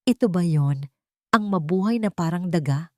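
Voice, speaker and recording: A woman voicing a bitter, scornful woman in an audio story, with a shrill, accusing delivery. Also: lively intonation, moderate pace, mellow, no echo, studio quality, lightly acted. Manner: accusing, dramatic. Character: bitter, scornful young woman, resentful lover.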